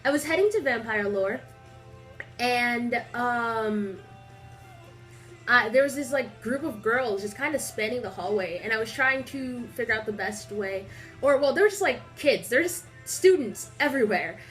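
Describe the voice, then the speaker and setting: Young woman vlogger, congested, chatty and rambling, a touch high-pitched. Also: a little slow, soft background music. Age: young adult. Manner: rambling, filler-heavy, animated storytelling. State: stuffy nose. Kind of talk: casual chatty vlog. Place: small indoor room.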